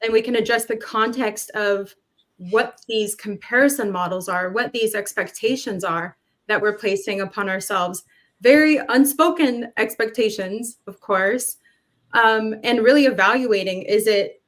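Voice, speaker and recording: A woman speaking earnestly as a panelist in an online discussion, pausing to stress key words. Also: on the high side, a bit bright.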